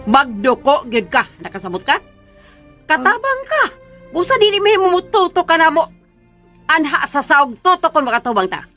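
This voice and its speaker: A woman's voice: high voice, big pitch swings, normal speed, sharp tone, faint music behind, heavily acted. A woman playing a haughty, menacing mistress who gives imperious orders in a Cebuano radio drama. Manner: imperious, threatening, commanding.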